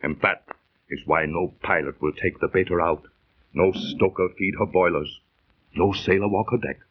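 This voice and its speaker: An actor playing an excitable, haunted seafaring adventurer in a dramatic 1930s radio storytelling style. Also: male voice, deep voice, normal speed. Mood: haunted, grave. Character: excitable landsman adventurer, haunted by a ghost ship. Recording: muffled, husky-sounding old 1930s radio recording.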